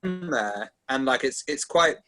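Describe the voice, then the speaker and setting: A man's voice: mid-range voice, animated, a little slow, sharp tone, soft background music. Man giving tentative, polite feedback. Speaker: table read participant giving notes. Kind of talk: casual feedback discussion.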